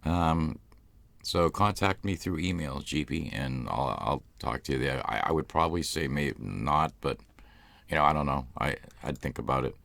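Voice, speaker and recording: A man's voice: rumbling low, animated, moderate pace, gravelly, a bit bright, no echo. A man with a Boston accent, hosting a live stream in loose, rambling casual chat. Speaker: ASMR channel host.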